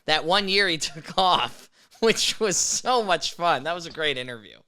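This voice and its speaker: Male co-host in his thirties, playful, bright and smooth voice. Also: a little high, animated. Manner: quick, laughing, playful. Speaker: podcast co-host. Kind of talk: casual chat.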